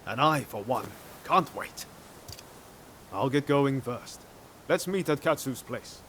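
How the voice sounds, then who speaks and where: Easygoing ronin companion, mid-pitched. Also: male voice, lively intonation, slow, a bit bright, a little hiss or hum. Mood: eager, casual. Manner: casual, upbeat. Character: easygoing, eager young male ronin companion. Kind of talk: video game cutscene acting.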